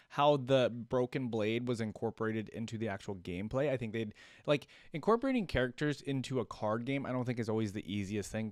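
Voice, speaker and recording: A man's voice: on the low side, a little mellow, dry recording, clean studio sound. A man hosting a reaction video, commenting casually and thinking aloud, often checking with a tagged-on question.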